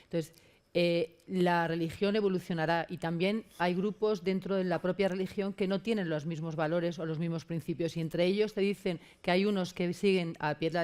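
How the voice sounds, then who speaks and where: A woman on a conference panel answering a question with her own opinion in a firm, measured manner. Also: on the low side, a little mellow, clear, resonant tone.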